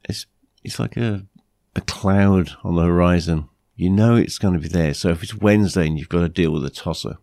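A British man hosting a podcast with a blunt, plain-spoken manner, his voice croaky from flu. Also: deep voice, moderate pace, few pauses, dark tone, dry recording, studio quality. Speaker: podcast host. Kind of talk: podcast monologue, anecdotes and advice.

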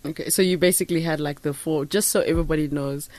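A woman's voice: sits low, fairly even intonation, fast talker, darkish tone, no echo. Chatty woman hosting a studio interview. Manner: chatty, laughs easily, lots of backchannel mm-hmms. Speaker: podcast host. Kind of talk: studio interview and scripted intro. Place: radio studio.

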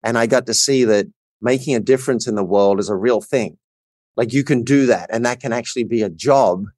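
A man's voice: medium pitch, a little fast, a little mellow, dry recording. A middle-aged man with an Australian accent, telling his personal story earnestly and emphatically as a coach guesting on a podcast.

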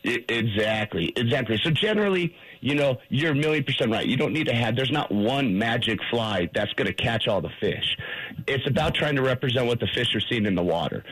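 A man's voice: medium pitch, lively intonation, brisk, a bit bright, breathy edge, rough recording. A man guesting on a radio show, eagerly explaining fishing techniques with many fillers. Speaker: fly shop guest, fishing instructor.

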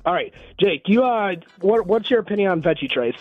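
Man, call-in caller, rambling, slightly high voice, dull dry phone sound. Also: animated, brisk, soft background music. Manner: rambling, repeats himself. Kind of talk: casual call-in chat.

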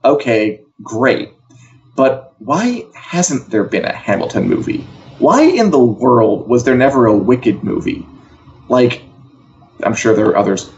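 A man's voice: mid-range voice, animated, measured pace, a little mellow, muffled recording. An American man co-hosting an entertainment show, chatting casually and posing opinionated rhetorical questions. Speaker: co-host of an entertainment news show. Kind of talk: casual chat.

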